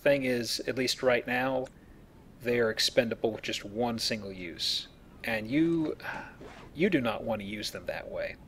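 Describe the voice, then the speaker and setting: A man's voice: mid-range voice, measured pace, fairly bright tone, a little hiss or hum. A man giving casual, explanatory gameplay commentary as he plays a video game. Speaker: let's player playing and explaining the game.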